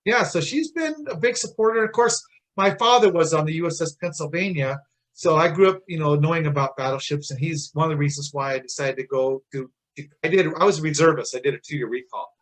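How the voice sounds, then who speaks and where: A man's voice: fairly high pitch, fairly quick, poor audio. A man in his sixties doing a casual interview, talking in a chatty, rambling way. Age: 60s. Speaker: interviewer, Navy veteran.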